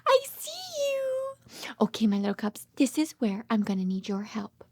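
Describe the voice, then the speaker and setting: A woman's voice: medium pitch, huge pitch swings, moderate pace, slightly rough, no room sound. A woman doing an interactive picture-book read-aloud for toddlers, with exaggerated sing-song delivery, playful repetition, gasps and whispers. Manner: exaggerated sing-song child-directed talk; repeats words playfully. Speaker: children's read-aloud host, speech therapist.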